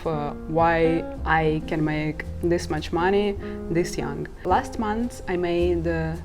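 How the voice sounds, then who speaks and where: A woman's voice: low-pitched, fairly even intonation, slow. A woman in her twenties, from Ukraine and a native Russian speaker, talking candidly to camera about earning money online, laughing at herself now and then. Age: 20s. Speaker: vlogger. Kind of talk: casual talk to camera. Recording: guitar music playing behind.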